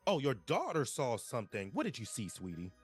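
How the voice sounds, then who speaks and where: A man playing an earnest, brisk female TV field reporter in a live table read. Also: mid-range voice, huge pitch swings, husky, soft background music. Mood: inquisitive, bright.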